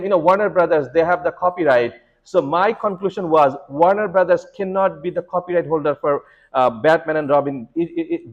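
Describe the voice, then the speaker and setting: A middle-aged man speaks in a somewhat high voice, explaining immigration steps with earnest repetition and frequent restarts. The recording is dull and lo-fi. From Bangladesh. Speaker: immigration lawyer. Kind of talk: advice talk to students.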